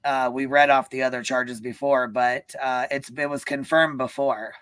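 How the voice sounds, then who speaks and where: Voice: medium pitch, fairly even intonation, normal speed, fairly bright tone, smooth, no echo. A livestream host chatting casually about events in an emphatic, profane way. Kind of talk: casual live commentary chat.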